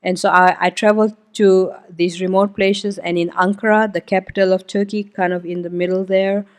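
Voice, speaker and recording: A woman's voice: lowish voice, a little mellow. A woman whose mother tongue is Hindi gives a missionary testimony at a church service. Her talk is loose and conversational, with plenty of "um"s and "uh"s. She stops now and then to point things out on a map for the congregation. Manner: informal, explains while pointing at a map, frequent "um" and "uh". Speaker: missionary guest speaker.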